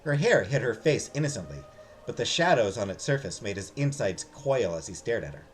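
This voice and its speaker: A man's voice: medium pitch, very animated, a little fast, slight background noise. A man streaming a visual novel, switching between casual chat with viewers and reading narration aloud.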